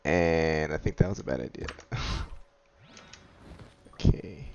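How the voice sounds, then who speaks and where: A man's voice: extremely low pitch, slow, creaky, a little mellow, dull audio. A man giving casual, laid-back, reactive commentary as he plays through a video game. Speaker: gaming commentator. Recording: faint noise, keyboard and mouse clicks.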